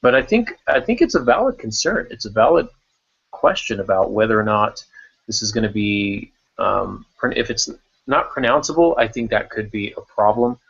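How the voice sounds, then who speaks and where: A man's voice: fairly low pitch, very animated, moderate pace, vocal fry, fairly bright tone, breathy edge, muffled recording. A man hosting a writing podcast in casual unscripted talk, thinking aloud and repeating words as he goes. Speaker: podcast host discussing writing craft.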